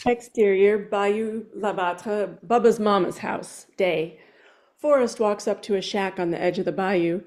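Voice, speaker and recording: A woman's voice: medium pitch, steady tone, unhurried, a little mellow. A woman reading a screenplay aloud as narrator at a table read. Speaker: script reader and narrator.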